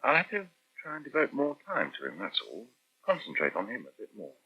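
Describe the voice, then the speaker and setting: A man's voice: mid-range voice, wildly swooping pitch, brisk, sharp tone, slightly rough, heavily acted. A swooping, brooding father. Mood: resigned, resolving. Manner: brooding, sardonic. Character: brooding, sardonic worried father of a young son. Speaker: actor in a radio serial. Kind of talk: 1970s British radio soap acting. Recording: poor, muffled old 1979 radio recording.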